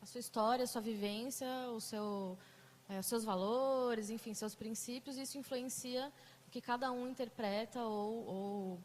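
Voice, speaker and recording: A woman's voice: a little high, fairly even intonation, normal speed, a little hiss or hum, rough recording. A woman with a Brazilian Portuguese accent leading a group conversation, chatty, with many fillers and restarts. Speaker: mediator. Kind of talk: casual talk to a group.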